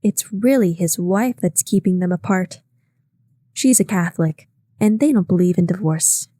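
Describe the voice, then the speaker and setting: A woman's voice: fairly low pitch, moderate pace, mellow, dry recording, clean studio sound, natural voice. A woman reading aloud, voicing a chatty, gossiping young woman with a raised, breathless voice. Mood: confiding.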